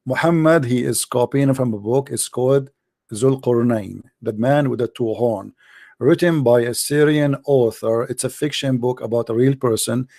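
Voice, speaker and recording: A man whose first language is Arabic, hosting a call-in debate, arguing insistently and slowing down to read scripture aloud. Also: medium pitch, vocal fry, a little mellow, dry recording, clean studio sound. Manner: insistent, argumentative, repeats "no, no". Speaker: host.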